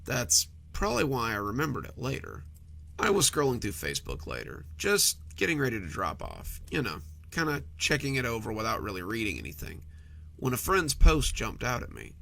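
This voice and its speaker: A man's voice: mid-range voice, huge pitch swings, normal speed, gravelly, bright, forceful tone, slight background noise. A man reading a scripted first-person horror story in a conversational storytelling manner.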